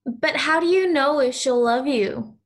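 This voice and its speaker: A woman's voice: high-pitched, unhurried. A woman playing a lonely, stubborn ten-year-old girl in an audio play, speaking in an earnest, insistent, childlike way. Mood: saddened, questioning.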